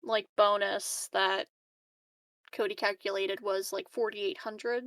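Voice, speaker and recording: A woman's voice: mid-range voice, level tone, slow, fairly bright tone, no echo. A woman chatting casually as a player in a tabletop game. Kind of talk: casual chat.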